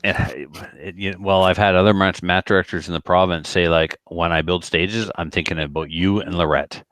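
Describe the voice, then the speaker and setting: Low-voiced man in casual podcast chat. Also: steady tone, a little fast, a bit bright, dry recording. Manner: rambling, colloquial, with acted-out quotes. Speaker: podcast speaker who organizes shooting matches.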